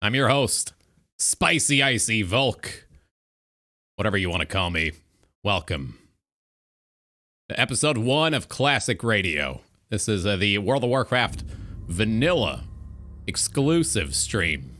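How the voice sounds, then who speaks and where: Bright, animated man hosting a stream. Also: fairly low pitch, measured pace, pauses often. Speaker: livestream talk show host. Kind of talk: radio-show style livestream monologue.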